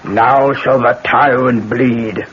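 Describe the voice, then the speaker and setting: An actor playing a vengeful voodoo princess with grandiose, incantatory speech in a 1970s radio drama. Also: male voice, medium pitch, steady tone, slow, fairly bright tone. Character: vengeful voodoo sorceress princess, solemn and theatrical. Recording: noisy dull 1970s radio broadcast.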